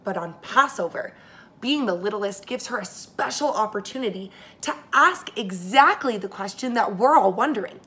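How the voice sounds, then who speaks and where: A woman preschool teacher reading a story aloud to young children, expressive and speaking to them directly. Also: medium pitch, normal speed.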